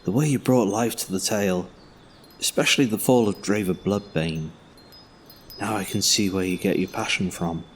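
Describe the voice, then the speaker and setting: A man's voice: on the low side, normal speed, a little hiss or hum, lightly acted. A man playing a courteous, reverent temple keeper in a fantasy audio drama. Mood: welcoming, respectful.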